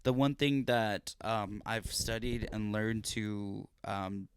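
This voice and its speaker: A man's voice: lowish voice, fairly even intonation, slow, a little hiss or hum. A young man hosting a casual podcast, talking earnestly and rambling with restarts and fillers into a podcast mic. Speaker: podcast host.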